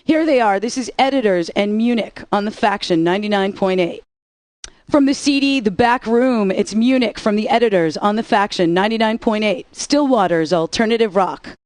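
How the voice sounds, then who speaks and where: A woman's voice: mid-range voice, normal speed, a little mellow, no room sound. A woman radio DJ with sassy, playful patter between songs.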